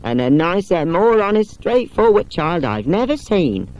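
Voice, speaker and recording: A man's voice: sits high, sing-song, a little slow, darkish tone, voice pushed up, low-quality recording. A man voicing a loyal, talkative lady's companion in a storybook, earnest, emphatic and confiding. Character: loyal, talkative woman, companion to an old lady.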